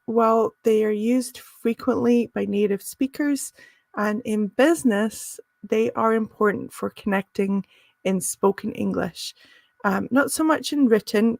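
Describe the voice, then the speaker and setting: A woman's voice: a little high, creaky, no room sound. A woman teaching a live business English lesson, friendly and encouraging, with frequent "um" and "uh" fillers.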